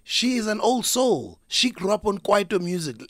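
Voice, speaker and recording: A man, a DJ and producer guest, praising his collaborator and giving shout-outs in a casual interview. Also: sits high, very animated, normal speed, no room sound.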